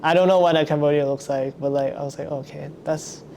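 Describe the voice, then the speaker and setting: A man's voice: fairly high pitch, fairly even intonation, quick pace, gravelly, a little mellow, slight background noise. A young man from Indonesia hosting a podcast, chatting casually and filling his sentences with lots of "like". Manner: chatty, filler-heavy, lots of "like". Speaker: podcast host.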